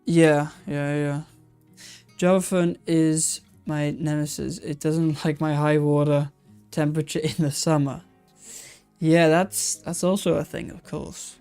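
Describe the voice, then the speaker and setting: A casual live streamer muses over old aquarium setups in a smooth, clear voice, hesitating often and restarting sentences. They read viewer comments aloud and answer them as they go. Faint music plays softly underneath. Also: medium pitch, little pitch movement, a little slow. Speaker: aquarium channel host.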